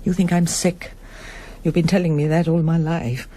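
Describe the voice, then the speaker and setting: Low-voiced, haunted middle-aged woman. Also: little pitch movement, moderate pace, warm tone. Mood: bitter, hurt. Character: anguished middle-aged émigré pianist, wife and mother, haunted by war. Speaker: lead actress and narrator. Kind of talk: radio drama acting and first-person narration.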